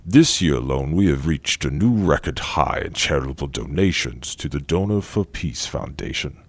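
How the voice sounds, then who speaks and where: Very deep, gravelly gala host. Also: male voice, expressive, no echo, full character voice. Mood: grandly gracious. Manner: grandiose, savoring, drawn-out delivery. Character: jovial, theatrical wealthy male gala host, silkily sinister. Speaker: banquet host. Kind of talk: audio drama acting.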